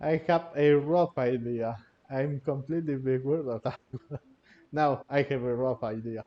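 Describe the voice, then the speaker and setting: A man's voice: medium pitch, a little slow, muffled recording. A man giving casual, giggly commentary as he plays a video game, sometimes reading dialogue aloud. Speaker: gamer commenting on a playthrough.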